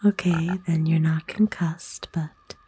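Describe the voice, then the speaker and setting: A woman voicing a kind, nurturing forest witch in a soothing, folksy, unhurried breathy whisper for an ASMR roleplay. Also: low voice, steady tone, dark tone, slight background noise. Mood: soothing, sympathetic. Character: kind, nurturing forest witch, playful and gently old-fashioned. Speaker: ASMR voice actor.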